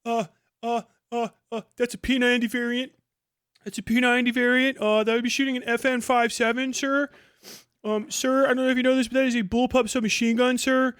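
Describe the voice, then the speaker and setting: Man, high clear voice, casual streamer commentary, slangy and sweary, close dry mic. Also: little pitch movement, a little fast, fairly bright tone, dry recording. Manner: profane, slangy. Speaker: streamer reacting to a video. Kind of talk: casual live reaction commentary.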